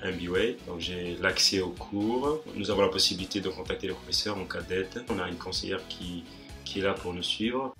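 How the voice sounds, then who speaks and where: A man's voice: low voice, pushed and bright, soft background music. A young man, a student, giving a plain testimonial with some hesitation. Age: young adult. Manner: plain halting speech with fillers.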